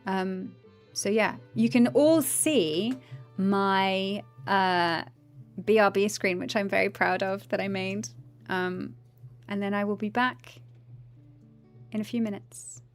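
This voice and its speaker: Woman, deep voice, chatty livestream talk, trailing fillers, faint game music behind. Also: fairly even intonation, slow. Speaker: streamer and voice actor. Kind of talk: casual livestream chat. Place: home room, indoors.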